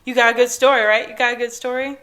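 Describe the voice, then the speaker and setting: A woman's voice: fairly high pitch, little pitch movement, fast talker, fairly bright tone. A young woman co-hosting a casual art podcast, swearing freely and laughing often as she tells stories. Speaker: podcast co-host. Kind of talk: casual chat.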